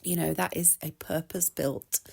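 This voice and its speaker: A woman's voice: low voice, fairly even intonation, moderate pace, creaky, a little mellow. A woman in her thirties with an English accent hosts her own podcast in a rambling, chatty monologue, a little congested from an oncoming cold. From England. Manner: chatty, rambling, with many restarts and laughs. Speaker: podcast host. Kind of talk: casual solo podcast monologue.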